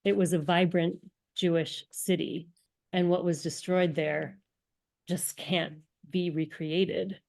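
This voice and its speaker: A woman delivers an earnest, explanatory talk in a slightly low voice. She pauses now and then with an um as she explains. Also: flat delivery, vocal fry, darkish tone. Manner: earnest, explanatory, with frequent ums. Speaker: lecturer. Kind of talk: synagogue lecture presentation.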